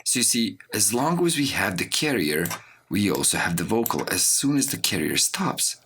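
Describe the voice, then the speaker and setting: A man with a low, creaky voice teaches audio software step by step. His pitch moves around in a lively way as he explains, with keyboard and mouse clicks behind him. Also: moderate pace, slight background noise. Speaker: audio production course instructor. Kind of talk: software tutorial narration. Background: mouse clicks and computer keyboard typing.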